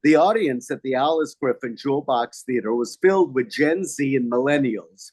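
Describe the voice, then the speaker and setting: A man's voice: medium pitch, expressive, normal speed, a little mellow, husky, no room sound. An older man working as a theater critic, reading his review aloud.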